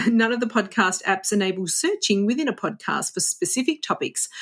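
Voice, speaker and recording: A cheerful woman hosts a travel podcast in an easy, chatty way. Her pitch sits in the middle of the range. She likes to greet her listeners with a few Italian words. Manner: upbeat, sprinkles Italian greetings. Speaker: podcast host. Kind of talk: casual interview chat.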